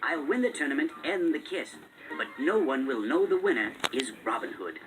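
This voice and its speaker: Friendly cheerful outlaw hero in a kids cartoon story, lively voice, music under it. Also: male voice, on the high side, moderate pace, poor audio. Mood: confident. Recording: music behind the voice.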